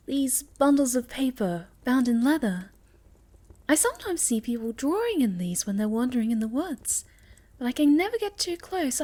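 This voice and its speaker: Woman playing a high-voiced, shy, sweet moth girl. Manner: hesitant, stammering, polite, soft-spoken. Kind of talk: ASMR roleplay acting.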